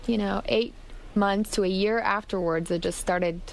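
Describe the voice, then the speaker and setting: A woman's voice: mid-range voice, fairly even intonation, normal speed, gravelly, poor audio. A woman from Canada speaking conversationally as the guest in a radio interview. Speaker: interview guest, memoir author.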